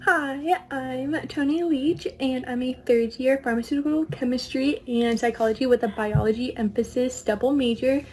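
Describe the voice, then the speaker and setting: A woman's voice: sits high, fairly even intonation, moderate pace, hardly any pauses, darkish tone, slight background noise. A young woman from California, a university student introducing herself over a video call. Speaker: student contestant. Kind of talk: self-introduction.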